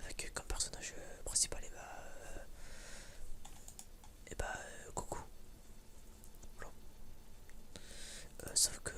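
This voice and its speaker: A man's voice: slow, pauses often. Whispering young man, hesitant and rambling. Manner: hesitant, rambling, informal and slangy. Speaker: ASMR creator. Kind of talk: whispered ASMR casual chat.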